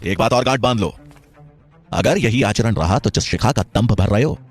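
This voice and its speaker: Male storyteller as royal minister, stern, formal, deliberate, husky, music underneath. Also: fairly low pitch. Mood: sarcastic, menacing. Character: stern court minister, pleading to king, sneering at youth.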